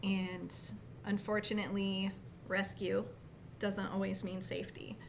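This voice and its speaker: A woman guest and keynote speaker telling her story in an earnest, measured way. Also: mid-range voice, flat delivery, gravelly, a little hiss or hum. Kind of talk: interview answer.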